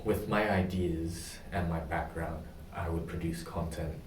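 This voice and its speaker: A young man from Indonesia answering questions in a practice job interview, pausing often with ums. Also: low-pitched, fairly even intonation, darkish tone, reverberant, recorded from a distance, slight background noise. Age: young adult. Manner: hesitant, many pauses and ums.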